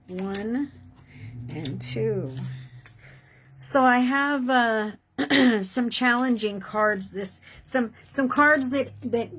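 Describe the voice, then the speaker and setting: A woman reading tarot horoscopes in a casual, encouraging way, her voice worn and hoarse from doing many readings. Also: mid-range voice, slight background noise. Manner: chatty, encouraging, many fillers like "you know" and "okay". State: losing her voice. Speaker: tarot reader.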